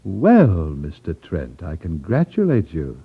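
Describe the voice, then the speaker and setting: An actor playing a suave, cold-blooded crime boss with genteel, condescending politeness in a 1950s radio drama. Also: male voice, low voice, huge pitch swings, measured pace, breathy edge, exaggerated voice. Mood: polite menace. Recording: muffled vintage 1950s radio recording.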